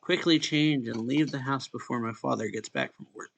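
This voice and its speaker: A man's voice: mid-range voice, moderate pace, gravelly, a bit bright, low-quality recording. A man reading a visual novel aloud in a casual, informal way, narrating and voicing the lines as he goes. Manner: informal, stumbles and corrects misreadings.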